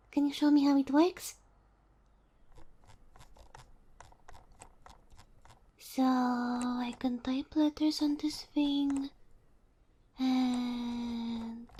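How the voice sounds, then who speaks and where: A woman plays a sweet young kitsune girl in an ASMR roleplay, high-pitched and unhurried. She speaks softly and breathily, often dropping close to a whisper. Her manner is naive and curious, full of hesitant wonder. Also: breathy edge. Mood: curious, tentative. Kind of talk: ASMR roleplay, soft acted monologue.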